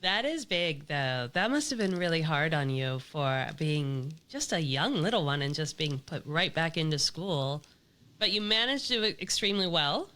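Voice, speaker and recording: A woman's voice: low-pitched, sing-song, moderate pace, a bit bright. A woman hosting a podcast interview, upbeat and sprinkling Spanish words into her English. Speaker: podcast host.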